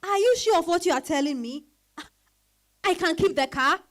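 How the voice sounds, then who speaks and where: A woman's voice: extremely high pitch, moderate pace. A woman playing a grateful, hesitant and concerned friend in a dramatized reading. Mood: surprised, grateful. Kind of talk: dramatized stage-style reading.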